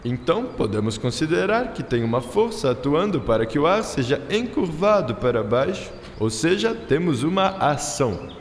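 Guy acting as proud brazilian inventor in a radio play, lively theatrical delivery, drops french words, big roomy echo. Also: fairly high pitch, normal speed, a little hiss or hum. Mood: enthusiastic, explaining. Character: Brazilian inventor-aviator, courteous, proud, eager to explain science. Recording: roomy reverberant sound with music behind.

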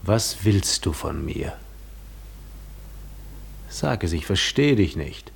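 An actor playing a weary, defiant wandering Greek hero in a 1950s German radio drama, speaking in terse, questioning phrases. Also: male voice, deep voice, very animated, slow pace, creaky, husky, exaggerated voice. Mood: wary, questioning.

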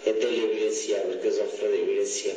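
A man giving a halting, colloquial statement as a defendant in court. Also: on the low side, flat delivery. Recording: echoey, distant courtroom recording with music.

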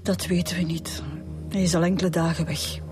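A woman's voice: on the low side, flat delivery, a little fast, over music, close to an everyday voice. An actress playing a grieving, earnest middle-aged Flemish mother in a radio drama. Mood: sad, earnest. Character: grieving, earnest mother pleading for her son.

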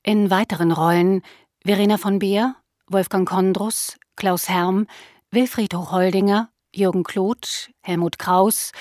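A woman's voice: mid-range voice, darkish tone, dry recording, studio quality. A woman reading radio play credits in a formal, neutral announcer style. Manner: clear, neutral listing of names.

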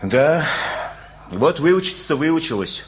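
A man's voice: on the high side, very animated, takes it slowly. A male actor playing a folksy, talkative, worried father in a radio play, rambling colloquially with chuckles. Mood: anxious rambling.

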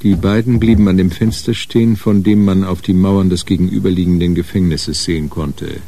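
Deep, dark crime narrator. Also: male voice, fairly even intonation, fairly quick. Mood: sober. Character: sober male narrator of a crime story. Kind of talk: scripted narration in a radio crime drama.

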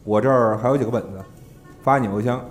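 An actor playing a brisk, teasing talent manager in a Mandarin audio drama, chatty and exasperated in his banter. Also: medium pitch, lightly acted. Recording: music behind the voice.

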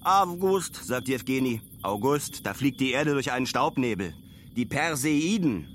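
A man's voice: medium pitch, big pitch swings, measured pace, a little hiss or hum. An actor playing a reflective male traveller, telling his story colloquially and musingly in a radio play monologue.

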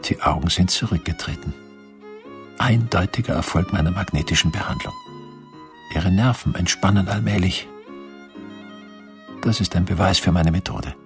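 A low-voiced, self-important doctor. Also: male voice, wildly swooping pitch, normal speed, creaky, long pauses, faint music behind, exaggerated voice. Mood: proud, absorbed. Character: self-assured 18th-century doctor, proud of his cure. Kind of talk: radio drama acting.

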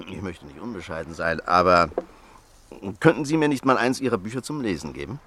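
A man's voice: low-pitched, expressive, a little fast, bright, forceful tone, exaggerated voice. An actor in a radio play voicing a stocky, pushy, jovial American neighbor in his fifties who talks insistently and chuckles.

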